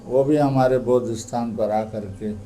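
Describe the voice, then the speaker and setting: Man, meditation guide, very slow delivery, calm and deliberate, music behind the voice. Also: medium pitch. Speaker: meditation teacher. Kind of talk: guided meditation instruction in a group session.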